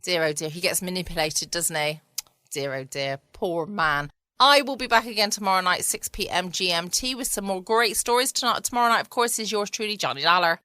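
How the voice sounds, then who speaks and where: Bright, dry woman hosting a podcast. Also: lowish voice, fairly quick, dry recording. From United Kingdom. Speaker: podcast host. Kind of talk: bedtime podcast outro. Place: at home, indoors.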